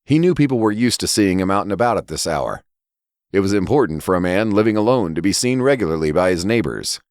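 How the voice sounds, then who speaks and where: Male audiobook narrator for fiction: low register, lively melodic delivery, deliberate storytelling. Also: no echo, studio quality. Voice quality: low-pitched voice. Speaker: story reader and podcast narrator.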